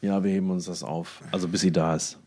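Male podcast co-host, lowish voice, informal and anecdotal delivery. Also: flat delivery, quick pace, a little mellow. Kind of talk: casual anecdotal chat.

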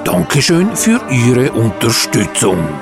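A man's voice: mid-range voice, huge pitch swings, slow, creaky, music in the background. A man hosting and narrating from a script in formal, ornate phrasing. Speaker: narrator and host of audio drama show.

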